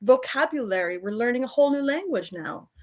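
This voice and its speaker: A woman's voice: fairly high pitch, expressive, fairly quick. A woman chatting casually and playfully as a livestream host.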